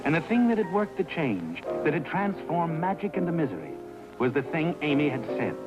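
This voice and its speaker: An American actor playing an ominous, all-knowing night narrator in a 1950s crime anthology, speaking with taunting, dramatic knowingness. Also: male voice, a little high, very animated, fairly quick, highs cut off. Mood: ominous, brooding. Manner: taunting, knowing, dramatic second-person address. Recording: old 1950s soundtrack under loud music.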